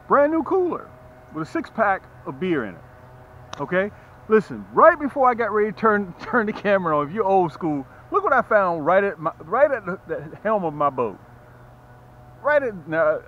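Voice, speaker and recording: A man with a fairly high, very lively voice talks to the camera as a fishing host and tackle seller. He is folksy and emphatic, repeating himself to drive points home. The sound is slightly muffled with some background noise. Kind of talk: casual sales pitch to camera.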